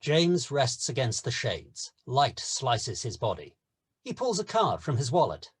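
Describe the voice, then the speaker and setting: A man's voice: medium pitch, wildly swooping pitch, unhurried, husky. A man reading stage directions as narrator in a scripted screenplay table read.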